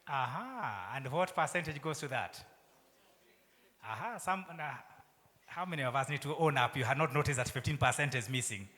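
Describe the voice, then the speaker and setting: A middle-aged man with a Kenyan accent giving a conference keynote, asking the audience questions and dropping into soft asides. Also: medium pitch. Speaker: university vice chancellor giving a keynote. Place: large conference hall. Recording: long hall reverb.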